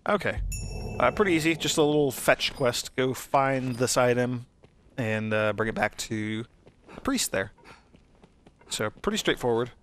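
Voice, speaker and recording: A man's voice: medium pitch, a little slow, pauses often, a bit bright. A man hosting a Let's Play, giving casual, easygoing commentary and explanations.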